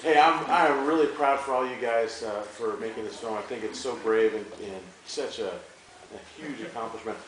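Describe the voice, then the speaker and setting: A man in an audience speaking casually and haltingly, in an admiring way, as he asks a question. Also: medium pitch. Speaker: audience member asking question. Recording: very echoey theater, distant, faint noise.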